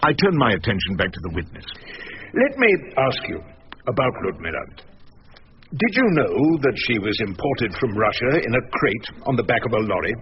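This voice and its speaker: An actor playing a wry, stubborn older English defence barrister in a radio drama, speaking in a droll, theatrical, measured way. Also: male voice, mid-range voice, very animated, lightly acted. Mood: probing, sardonic. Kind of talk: radio drama narration and courtroom acting. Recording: dull radio recording, faint noise, reverberant courtroom.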